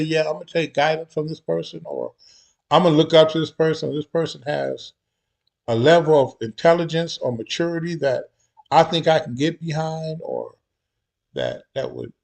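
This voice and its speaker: A man hosting a reaction commentary with a fairly high voice. He mocks the people in the clip and asks pointed rhetorical questions. At times his voice sinks to a quiet mutter. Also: vocal fry. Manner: mocking, rhetorical questions, drops to a low mutter. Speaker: commentary host.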